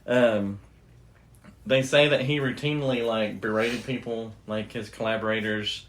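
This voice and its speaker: A man's voice: fairly low pitch, fairly even intonation, unhurried, fairly bright tone. A man hosting a podcast, telling a story in a casual, informal and loosely phrased way. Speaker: podcast host.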